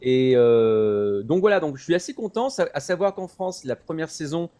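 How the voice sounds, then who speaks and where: A man chatting through anime news as a show commentator, explaining in an animated, digressive way. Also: a little high, moderate pace. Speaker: commentator on a web talk show about anime. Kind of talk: casual news chat.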